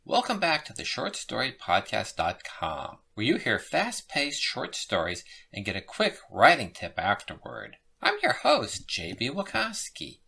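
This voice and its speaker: Female short story podcast host, scripted read, notably low register, highly varied intonation. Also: wildly swooping pitch, unhurried, vocal fry, few pauses, sharp tone, husky. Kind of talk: scripted narration and writing tips.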